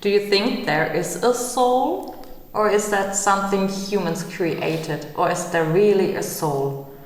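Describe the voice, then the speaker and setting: A woman's voice: medium pitch, slight background noise. A woman in her thirties from Germany, hosting a podcast in English and asking her guests questions. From Germany, Hamburg. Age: 30s. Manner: asks questions, slow and searching. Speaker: podcast host. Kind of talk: casual discussion. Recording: roomy hall, echoey.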